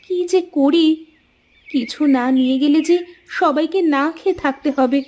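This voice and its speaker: A woman's voice: sits high, moderate pace, darkish tone, natural voice. A woman playing a devoted, brave young village wife in a cartoon moral tale, speaking in an earnest, dramatic way. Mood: anxious, searching. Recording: music under the voice.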